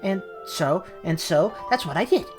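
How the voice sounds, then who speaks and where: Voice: medium pitch, lively intonation, measured pace, over music, close to an everyday voice. A performer voicing a flustered mouse messenger in a game, hesitant and pleading, repeating words nervously.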